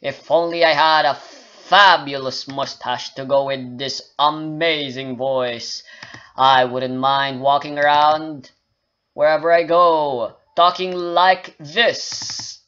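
A man putting on a slow, self-mocking macho voice as a joke. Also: on the high side, fairly even intonation, fairly bright tone, smooth, lo-fi sound. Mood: mock pompous. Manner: slow deliberate drawl, self-mocking pomp. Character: mock macho man, slow, overly deep.

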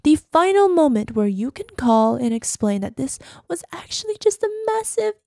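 A woman's voice: high voice, big pitch swings, moderate pace, gravelly, dark tone, no echo, studio quality, exaggerated voice. A woman voicing an obsessive, unstable yandere girlfriend in a dramatic ASMR roleplay, sweet and intense with cloying pet names. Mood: threatening.

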